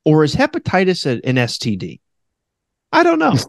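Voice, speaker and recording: Lively, animated man hosting a podcast. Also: normal speed, creaky, no room sound, clean studio sound. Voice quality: deep voice. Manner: animated, breaks into high mocking impressions of radio announcers. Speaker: podcast host; former radio host. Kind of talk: casual podcast chat.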